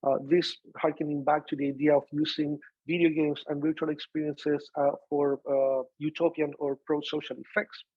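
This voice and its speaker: A man with a Chilean accent giving an academic seminar talk over a video call, often pausing on "uh" and restarting phrases. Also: fairly high pitch, flat delivery, no room sound. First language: Spanish. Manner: frequent "uh" fillers and restarts. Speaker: professor presenting research. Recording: dull lo-fi video call audio.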